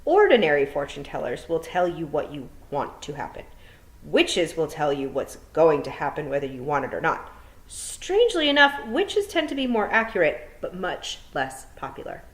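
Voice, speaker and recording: A woman's voice: deep voice, sing-song, moderate pace, rough recording. A woman teacher at home, chatting with her pupils and then reading a children's novel aloud in a friendly, encouraging way. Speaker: teacher giving a read-aloud. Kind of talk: friendly classroom talk and storybook reading.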